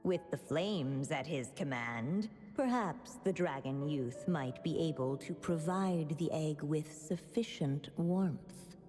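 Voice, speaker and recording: Game storyteller, low and slow, serene and wry, long reverb, music underneath. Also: female voice, animated. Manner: measured, literary, gently wry. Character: calm female storyteller narrator, wise and gently wry. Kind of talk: scripted fairy-tale narration in a video game.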